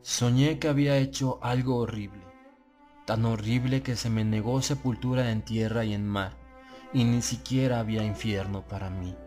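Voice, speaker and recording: A man reading a gothic horror audiobook in a solemn, deliberate and dramatic manner. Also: mid-range voice, steady tone, a little mellow. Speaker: audiobook narrator. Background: music.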